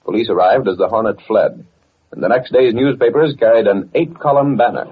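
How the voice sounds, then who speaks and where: An actor as a dramatic radio serial announcer, narrating the story in a grave 1940s style. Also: male voice, on the low side, lively intonation, brisk, lo-fi sound, slight background noise, lightly acted. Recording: thin, band-limited old radio recording.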